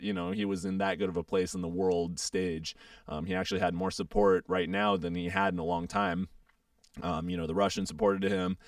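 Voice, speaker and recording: A young man hosts a casual radio show with a heavily gravelly, hoarse voice. He talks in a lively, up-and-down way, rambling through fillers. His voice is smooth under the rasp, recorded dry and slightly off-mic. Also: sits low, no room sound, far from the mic. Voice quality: froggy, gravelly. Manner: chatty, rambling with many fillers. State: hoarse, getting over a sore throat.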